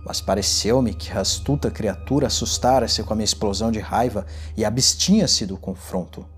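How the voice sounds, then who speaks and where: A man playing an unhinged, gloating condemned murderer who recounts his crime in a deliberate, theatrical gothic horror reading. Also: lowish voice, breathy edge, soft background music. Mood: cold, methodical. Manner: theatrical, deliberate, swinging from hushed to exultant.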